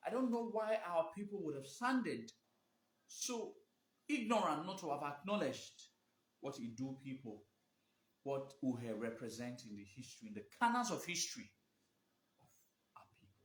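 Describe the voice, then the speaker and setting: A man's voice: fairly high pitch, very animated, moderate pace, long pauses. Emphatic man livestreaming in echoey room. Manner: emphatic, repeats and restarts phrases. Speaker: livestream host. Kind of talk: live video talk answering viewer questions. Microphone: phone.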